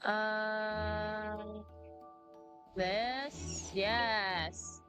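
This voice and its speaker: A woman's voice: mid-range voice, fairly even intonation, extremely slow. A woman game streamer chatting casually and reading dialogue aloud, sometimes stumbling over the words. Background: game music.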